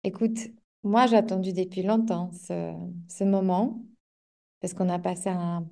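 Host woman, low mellow voice. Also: flat delivery, unhurried. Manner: hesitant, many fillers and restarts in conversation. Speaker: podcast host and coach. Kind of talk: scripted intro, then hesitant interview chat.

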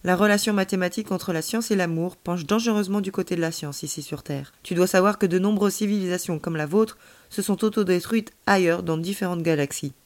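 A woman's voice: on the low side, brisk, close to an everyday voice. A woman voicing a wise young alien visitor who explains patiently and playfully in an audiobook story. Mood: grave.

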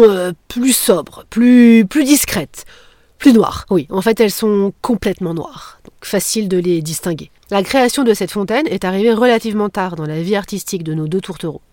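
Podcast host, woman, mid-pitched, teasing scripted delivery, dry close mic. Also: a little mellow, dry recording. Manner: playful, jokey asides.

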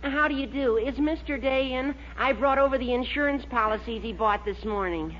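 A man's voice: extremely high pitch, moderate pace, fairly bright tone. An actor playing an earnest, naive male insurance man in a 1940s radio comedy, with an American accent. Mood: polite. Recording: muffled old radio recording, faint hiss, clicks.

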